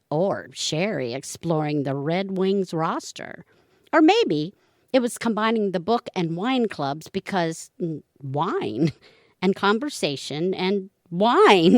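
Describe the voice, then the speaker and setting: A woman's voice: mid-range voice, a little mellow, no room sound, clean studio sound. A woman playing a wry, flirty retired wife who likes to scheme and muse, in an amateur audio comedy.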